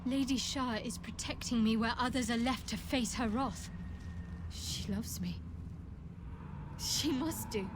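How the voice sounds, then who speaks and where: A woman's voice: fairly high pitch, noisy background, close to an everyday voice. A woman playing a guarded, devout young cleric in a fantasy video game, dry and teasing. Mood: awed, devout. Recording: clear music behind.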